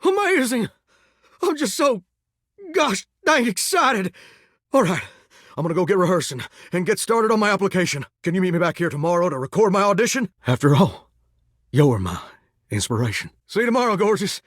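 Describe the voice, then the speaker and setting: A man voicing an earnest, excitable shower come to life as a folksy country singer with dreams, in an American Southern accent, for a video game. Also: sits high, fast talker, no room sound, studio quality. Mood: thrilled. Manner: folksy, earnest, flirty drawl with dramatic swoops. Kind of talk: video game character voice acting.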